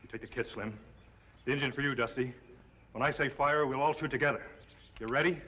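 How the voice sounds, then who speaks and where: A man's voice: medium pitch, a little fast, breathy edge, close to an everyday voice. Man playing a ruthless, bullying western gunman. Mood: cold. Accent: American. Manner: blunt, taunting slangy talk. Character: ruthless male outlaw gunman, defiant, ambitious, bullying. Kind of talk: 1950s television western acting. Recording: muffled old TV soundtrack.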